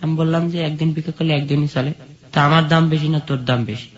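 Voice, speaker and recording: A man's voice: fairly high pitch, fairly even intonation, fast. A man with a Bangladeshi accent telling his own life story as an interview guest. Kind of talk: personal life-story narration. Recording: dull lo-fi sound.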